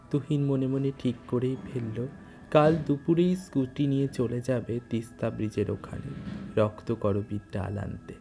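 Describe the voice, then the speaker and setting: A storyteller narrating a scripted audio tale in an unhurried, literary way. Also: medium pitch, dark tone.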